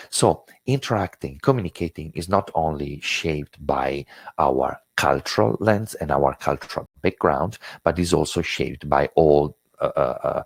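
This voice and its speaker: Deep gravelly italian guy teaching a webinar, lots of uhs and restarts, kinda husky. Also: no room sound. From Italy. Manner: hesitant, many fillers and restarts. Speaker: webinar presenter. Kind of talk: online lecture.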